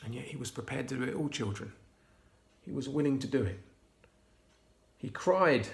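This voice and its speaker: Man softly reading a children's story. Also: mid-range voice, moderate pace, gravelly. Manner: hushed, expressive, dropping to whispers. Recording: roomy echo.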